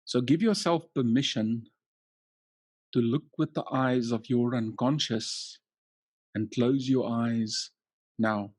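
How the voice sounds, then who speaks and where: A man leading a guided hypnotic relaxation as a coaching trainer, soothing and measured, leaving long pauses between short phrases. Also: mid-range voice, a little mellow, no echo.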